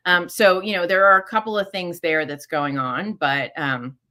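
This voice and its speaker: A woman's voice: fairly low pitch, fairly even intonation, normal speed, sharp tone. A middle-aged woman hosting a live question-and-answer session, talking casually with many fillers and self-corrections. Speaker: host answering listener questions.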